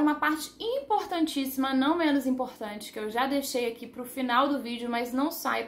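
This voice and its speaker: A high-voiced woman talks through a language lesson in a loose, rambling way. She often stops to correct herself and laughs at her own slips. Her voice rings around a roomy, echoey space. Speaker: language teacher on her channel. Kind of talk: casual explanatory lesson to camera. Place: living in Taiwan.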